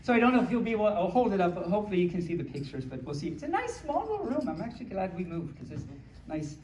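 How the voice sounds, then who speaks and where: A playful storyteller reading picture books aloud to a small audience, with childlike, cutesy phrasing and excited asides. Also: mid-range voice, very fast. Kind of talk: playful live story time with ad-libbed asides. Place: small room at a convention. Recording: echoey room from far off, faint noise.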